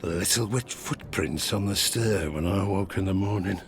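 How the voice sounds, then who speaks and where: An actor playing an old one-legged sailor, a gruff spinner of dark tales, in an audio drama. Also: male voice, low-pitched, slight background noise. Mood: wry, rueful. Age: older. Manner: rustic salty dialect, dramatic pauses, chuckling. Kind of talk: theatrical bedtime storytelling in an audio drama.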